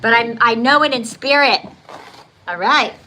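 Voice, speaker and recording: A woman's voice: fairly high pitch, a little slow, bright, forceful tone, rough recording. A woman with a New Jersey accent and a slight lisp, chatting casually on a livestream while she cooks and does dishes in her kitchen. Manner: chatty and upbeat, hums and sings along. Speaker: livestream host. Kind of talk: casual livestream chat while cooking and cleaning. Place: home kitchen with running water. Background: tap water running.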